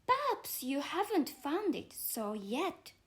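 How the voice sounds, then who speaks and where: A woman's voice: high-pitched, very animated, slow, voice pushed up, full character voice. A woman voicing a polite, puzzled young girl in a storybook reading, speaking earnestly and carefully.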